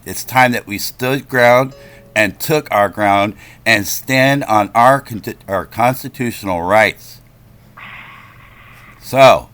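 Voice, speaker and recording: A man's voice: on the low side, takes it slowly, a bit bright, soft background music. A man making a complaint on a phone call, speaking in an insistent, confrontational, lecturing manner.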